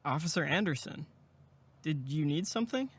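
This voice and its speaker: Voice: medium pitch, expressive, normal speed, slightly rough. A performer acting as a protective, practical young male camp counselor in an audio drama. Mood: wry.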